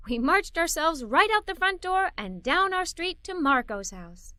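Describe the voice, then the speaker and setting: A woman voicing an excitable, curious little girl who tells a children's story in a playful, expressive way. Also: high voice, no room sound, studio quality, exaggerated voice. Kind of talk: scripted children's story read-aloud.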